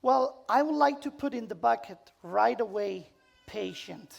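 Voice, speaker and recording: A woman's voice: on the high side, lively intonation, a little slow, creaky. A woman with an Italian accent, a photographer telling stories to a seminar as its speaker, repeating words for emphasis in informal English. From Italy. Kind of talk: live seminar storytelling.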